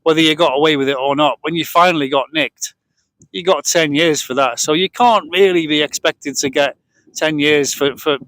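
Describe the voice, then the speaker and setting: A British man hosts a relaxed vlog in casual conversation. His voice sits a little high for a man, and the sound is dry and close, with very little echo. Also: moderate pace. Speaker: vlog host. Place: outdoors on a boat deck at a marina, breezy evening. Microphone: cheap handheld microphone shared with partner.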